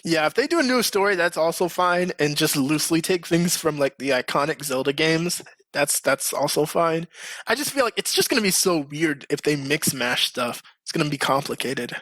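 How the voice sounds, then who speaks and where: Male podcast host, bright and animated, higher register, casual and emphatic group banter. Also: moderate pace, dry recording.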